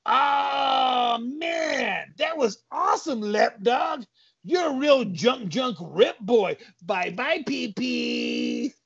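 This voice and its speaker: A man voicing a dim, excitable tough-guy sidekick in a comedy story, with goofy exclamations and silly slang. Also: extremely high pitch, takes it slowly, no room sound, muffled recording, pitched above the performer's own voice, heavily acted. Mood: thrilled.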